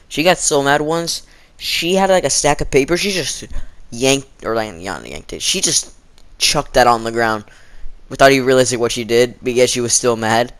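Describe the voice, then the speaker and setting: Teenage male, casual storytime vlogger, mid-pitched, halting and slangy, throat a bit sore. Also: a bit bright. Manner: halting, informal, slangy, dramatizing quotes. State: sore throat, frequent throat clearing. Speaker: video storyteller.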